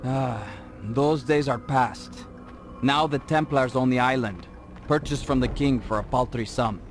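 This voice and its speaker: A man acts a deferential informant in a video game cutscene. His mid-pitched delivery is thoughtful and dutiful. Faint music sits behind the voice. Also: a little slow, lightly acted. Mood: reflective, dutiful. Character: deferential, informed male assassin aide. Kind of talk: scripted game cutscene acting.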